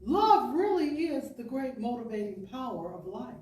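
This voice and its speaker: A woman giving a deliberate, expressive, oratorical reading of an inspirational talk to a congregation. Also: fairly high pitch. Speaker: speaker, professional storyteller. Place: spiritual center service, indoors. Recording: echoey room, distant pickup.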